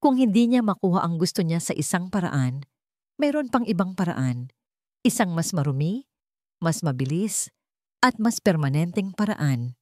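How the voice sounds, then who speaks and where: A woman's voice: on the low side, big pitch swings, moderate pace, long pauses, mellow, dry recording, clean studio sound. A woman reads a scripted dramatic story in a solemn, suspenseful, emotive manner.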